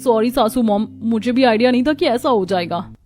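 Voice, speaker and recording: A woman's voice: a little high, normal speed, darkish tone, lightly acted. A woman voicing a vain, spiteful young modern daughter-in-law in a dramatic Hindi moral story cartoon. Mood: dismayed, apologetic. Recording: music behind the voice.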